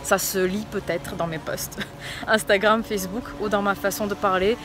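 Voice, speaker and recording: A woman's voice: mid-range voice, fairly even intonation, normal speed, fairly bright tone. A young woman from Portugal with a light northern Portuguese accent, a fitness coach telling her own story in a chatty vlog, with frequent fillers.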